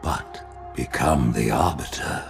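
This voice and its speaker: A man playing an ancient alien prophet in a game cutscene, regal, cold and calculating, with stately delivery. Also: soft background music. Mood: grave, persuasive. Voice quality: very deep, gravelly. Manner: stately, imperious, measured. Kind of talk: video game cutscene acting.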